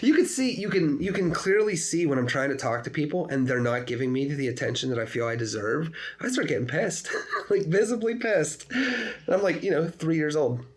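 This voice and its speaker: A man's voice: on the high side, a little fast. A man of about forty hosting a podcast, chatting casually, laughing often and poking fun at himself. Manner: laughs often, animated, self-mocking. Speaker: podcast host. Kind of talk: casual chat.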